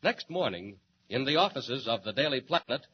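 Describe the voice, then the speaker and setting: An actor playing an excited, dramatic announcer narrating a 1940s radio serial. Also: male voice, medium pitch, normal speed, lightly acted. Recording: muffled noisy 1940s radio recording.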